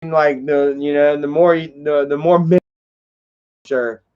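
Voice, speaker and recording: A man's voice: a little high, fairly even intonation, measured pace, smooth. A man in his thirties telling a rambling, profane story in casual livestream chat, full of fillers and repeats. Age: 30s. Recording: dull lo-fi stream audio.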